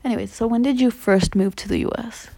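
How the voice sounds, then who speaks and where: A woman's voice: a little high, fast, creaky, warm tone. A young woman hosting a casual podcast interview, chatty and teasing, often giggling. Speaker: podcast host. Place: indoors at a family home.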